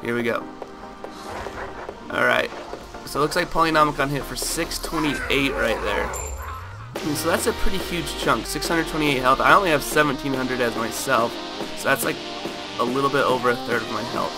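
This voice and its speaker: A man with a raspy, just-woken-up voice talking through a game item comparison in a relaxed way, full of fillers. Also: medium pitch, moderate pace, poor audio. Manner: relaxed, lots of fillers and hedges. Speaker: gaming video host. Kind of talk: casual game commentary. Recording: loud background music.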